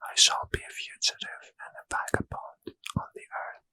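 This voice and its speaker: A man whispering a Bible passage in ASMR style, close to the mic. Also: no room sound. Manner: soft unvoiced whisper, measured. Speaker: reader.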